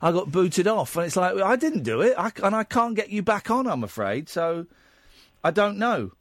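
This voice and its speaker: Teasing radio host with a lively voice. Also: male voice, high voice, normal speed, dry recording, studio quality. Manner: playful, teasing, mock-flirty. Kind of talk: late-night phone-in radio. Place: radio studio. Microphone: studio mic.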